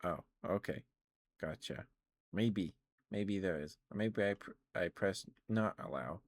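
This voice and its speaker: A low-voiced man rambles casually on an ASMR livestream. He talks very slowly and haltingly, musing his way through each thought like a streamer chatting to viewers. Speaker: live streamer, video game streamer.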